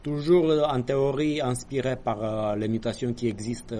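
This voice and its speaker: A man's voice: mid-range voice, flat delivery, moderate pace, dark tone, a little hiss or hum. A man giving a university lecture, explaining step by step with hesitations, apologetic asides and small jokes.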